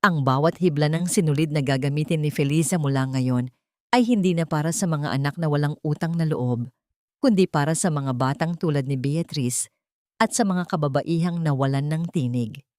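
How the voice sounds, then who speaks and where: A low-voiced woman with a Filipino accent narrates a scripted drama. The sound is dry and close, with no room around it. She works as a storyteller for an audio story. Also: normal speed, a little mellow, no echo.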